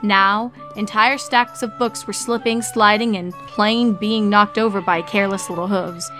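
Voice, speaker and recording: A woman's voice: medium pitch, steady tone, unhurried, a bit bright, faint music behind. A woman narrator reading a scripted story expressively.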